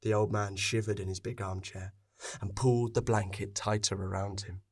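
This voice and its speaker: A man narrating a scripted story expressively, with dramatic pauses. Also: fairly low pitch, little pitch movement, creaky, a little mellow, slightly rough.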